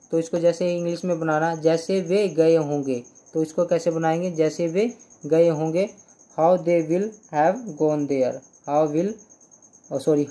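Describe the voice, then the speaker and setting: A young man teaching English grammar in Hindi, going slowly through example sentences, repeating them and often checking "clear?". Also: on the high side, dark tone. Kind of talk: Hindi-language grammar lesson.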